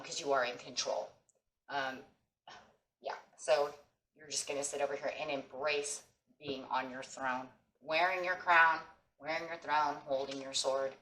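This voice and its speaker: A woman with a hoarse voice from a sinus infection, giving a casual tarot reading and speaking encouragingly to viewers as friends. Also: sits low, fairly bright tone, echoey room, a little hiss or hum, poor audio. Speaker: tarot reader. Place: table with tarot cloth, indoors.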